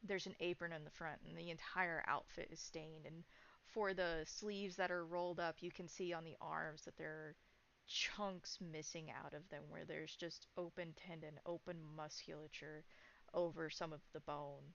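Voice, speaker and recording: A woman running a horror tabletop game as game master, narrating in halting, descriptive phrases. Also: deep voice, fairly even intonation, vocal fry, a bit bright. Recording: dull, lo-fi sound.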